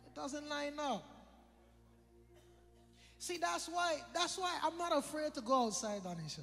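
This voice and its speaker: Brief: male preacher, very high voice, chatty and joking, echoing hall with soft music underneath. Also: lively intonation, measured pace, gravelly. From British Virgin Islands. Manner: conversational, joking, repeats phrases for emphasis. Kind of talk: sermon. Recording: long hall reverb with faint music.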